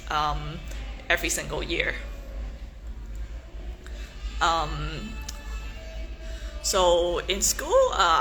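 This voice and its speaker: Young woman from Hong Kong, native Cantonese speaker, unhurried hesitant delivery, bright tone, faint music behind. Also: fairly low pitch, poor audio. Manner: slow and hesitant, many ums and restarts. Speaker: pageant contestant. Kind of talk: casual self-introduction livestream.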